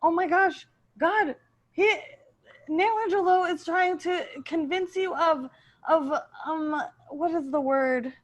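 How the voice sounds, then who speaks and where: A woman's voice: extremely high pitch, takes it slowly. A woman playing a jealous, combative Lucifer with a casual, aggrieved manner in improvised comedy. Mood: scheming. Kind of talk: improvised comedy radio play.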